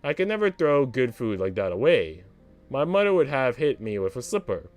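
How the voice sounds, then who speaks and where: Visual novel role: passionate young female maid, indignant and questioning, lively melodic delivery, acted by a man. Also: mid-range voice, moderate pace, faint music behind, close to an everyday voice.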